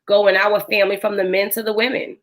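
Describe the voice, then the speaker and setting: A woman with a hoarse voice, hosting a podcast in a casual chat full of fillers. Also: mid-range voice, level tone, fast talker. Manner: chatty, filler-heavy. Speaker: podcast host.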